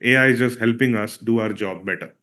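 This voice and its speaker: A man, a Marathi speaker, teaches a live online session with a medium-pitched voice. He talks loosely and conversationally, leaning on "uh" and asking "all right?" to keep his audience with him. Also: a little fast, pushed and bright. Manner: conversational, frequent "all right?" checks and "uh" fillers. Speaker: filmmaker and workshop presenter. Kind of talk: live online workshop talk.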